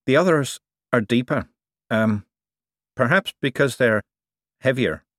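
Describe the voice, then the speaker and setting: A man voicing a brisk, stubborn, self-assured woman who explains things insistently in an audiobook story. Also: medium pitch, lively intonation, fairly bright tone, no room sound, studio quality, voice pushed up. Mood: careful, defensive.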